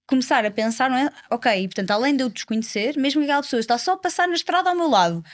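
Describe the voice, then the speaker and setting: A young woman in her twenties speaks with a European Portuguese accent in a fairly high voice. She talks earnestly and at length as a podcast guest, with frequent fillers. The sound is close and dry, with no room echo. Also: animated, rapid-fire. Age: 20s. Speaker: politician guest. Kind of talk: casual podcast conversation.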